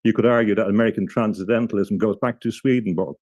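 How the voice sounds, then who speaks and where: A man with a lowish, mellow voice talks through ideas in a measured, halting way, often backing up and restarting mid-sentence. He speaks as an interview guest, and the sound is dry and close. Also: dry recording. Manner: thoughtful, halting, frequent restarts. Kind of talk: explanatory interview talk.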